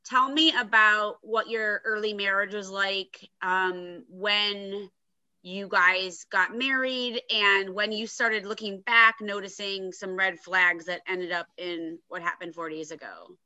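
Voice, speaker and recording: A woman's voice: medium pitch, steady tone, takes it slowly, pushed and bright. A woman hosting a podcast as an interviewer and coach, speaking on a slightly muffled recording. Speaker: podcast host and divorce coach. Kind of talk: podcast interview with a scripted intro.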